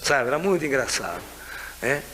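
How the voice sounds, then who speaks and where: A man's voice: medium pitch, moderate pace. A man in his sixties with a Brazilian Portuguese accent, a tai chi teacher telling stories in a casual way, laughing often and checking in with his listeners. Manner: lively, laughs often, keeps asking "né?" and "sabe?". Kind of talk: casual storytelling to camera. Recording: reverberant room with faint hiss.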